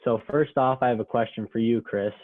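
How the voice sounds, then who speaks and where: A man moderating an online panel in a relaxed, casual way, heard through a tinny video-call connection. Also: medium pitch, brisk, dry recording. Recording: tinny dry phone-like call audio.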